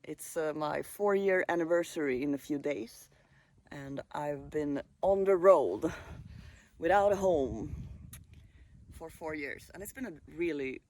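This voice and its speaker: A man in his late twenties, originally from Sweden, speaks to his phone camera at a countryside caravan site. His voice sits a little high for a man, and he talks in a laid-back, wandering way, pausing and filling gaps with small hesitations. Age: late 20s. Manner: relaxed, musing, with fillers and pauses. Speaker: travel vlogger. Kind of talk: casual vlog monologue.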